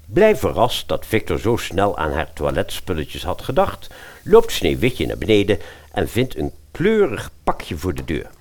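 Deep, animated male storyteller. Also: measured pace. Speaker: narrator. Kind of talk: expressive storytelling for a comic fairy-tale audio drama.

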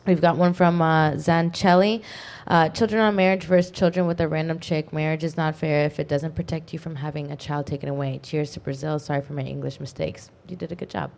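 A woman co-hosting an online talk show, reading viewer comments aloud quickly, with frequent fillers. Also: low voice, fairly even intonation, gravelly, a little mellow. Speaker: co-host reading super chats. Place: online video podcast studio.

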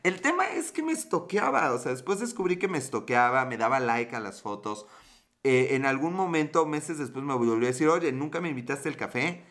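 A man's voice: medium pitch, animated, fairly quick, fairly bright tone. A young Mexican man hosting a livestream, telling a rambling, slangy story and answering chat, laughing often. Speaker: livestream host. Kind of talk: casual storytelling to live chat.

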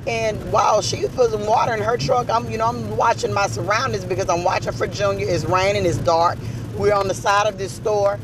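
Hoarse, raspy woman, earnest testimony, noisy rumbling backdrop. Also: steady tone, brisk. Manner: earnest, storytelling, faith-filled. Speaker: podcast speaker. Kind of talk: personal testimony addressed to an aunt.

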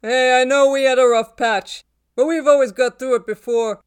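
A woman's voice: high-pitched, steady tone, moderate pace, clear, resonant tone, dry recording, clean studio sound, pitched above the performer's own voice, lightly acted. A woman voicing a cocky young man who wheedles and pleads with his ex in an audiobook. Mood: pleading. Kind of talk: audiobook character voice.

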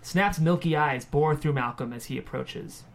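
A man with a somewhat high voice narrates a screenplay at a table read. He reads scene headings and stage directions as scripted narration. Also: moderate pace, gravelly.

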